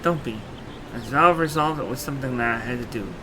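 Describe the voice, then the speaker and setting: A man voicing an earnest, warm-hearted young female royal in a video game, resolute and emphatic in delivery. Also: mid-range voice, brisk, noisy background, close to an everyday voice. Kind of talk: video game dialogue acting. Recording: game music behind the voice.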